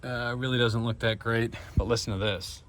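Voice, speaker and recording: A man's voice: mid-range voice, fairly even intonation, moderate pace, fairly bright tone. A man talking casually and informally to camera as he hosts a hands-on car repair vlog, with a laid-back way of speaking. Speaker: vlog host doing DIY car repair. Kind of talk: casual narration to camera while working. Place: in and around a vehicle. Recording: noisy car and engine ambience. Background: car and ambient noise.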